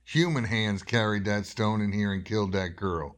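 A man's voice: lowish voice, fairly even intonation, normal speed, lightly acted. A man playing an impatient, skeptical small-town doctor in a horror radio drama, brusque and scolding with folksy phrasing. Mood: irritated, uneasy.

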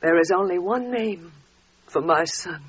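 Casting: resolute, reverent biblical mother. Very husky voice, earnest measured delivery, muffled forties radio sound. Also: fairly low pitch. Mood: firm, quiet. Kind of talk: 1940s radio drama acting. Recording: muffled 1940s radio recording.

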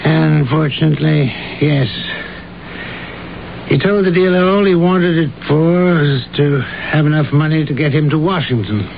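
An actor playing a grave, protective lawyer who speaks formally and tactfully in an old-time radio drama. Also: male voice, fairly high pitch, moderate pace, vocal fry. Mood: grave, sympathetic. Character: courteous, grave, protective male attorney. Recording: muffled, noisy old radio broadcast.

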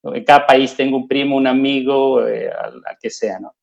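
A man's voice: mid-range voice, steady tone, moderate pace, vocal fry. A Colombian man, a podcast guest, answering casually and modestly with many fillers over dull, lo-fi call audio. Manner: self-deprecating, chatty, many fillers.

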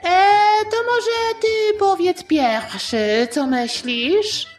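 A woman voicing a timid, sly, flattering male politician with a wheedling, hesitant manner in a satirical audio play. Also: extremely high pitch, very slow, soft background music, pitched above the performer's own voice, exaggerated voice. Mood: evasive, flattering.

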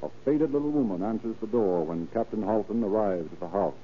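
A man's voice: lowish voice, brisk. A man narrating an old radio crime drama in a dramatic, scripted style. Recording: old tinny radio recording with noise.

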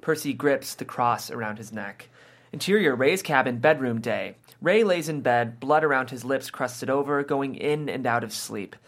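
A man's voice: medium pitch, normal speed. A man reading stage directions aloud as scripted narration.

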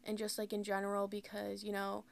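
A young woman around twenty talks alone into the mic for her podcast, rambling and candid, leaning on "like" constantly. Her pitch stays fairly level with little rise and fall, and a gravelly vocal fry runs through her words. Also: completely flat delivery, a little fast. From United States. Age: about 20. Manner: candid, rambling, heavy use of "like". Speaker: podcast host. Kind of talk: casual solo podcast talk.

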